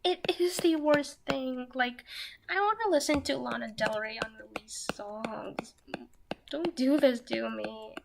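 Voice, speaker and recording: A young woman streaming herself while drawing, talking softly and half-whispering, a little nervous, with pen scratching heard behind her. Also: sits high, slow pace, creaky. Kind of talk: casual live-stream chat while drawing. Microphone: desk microphone that picks up pen scratching. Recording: noisy home stream recording.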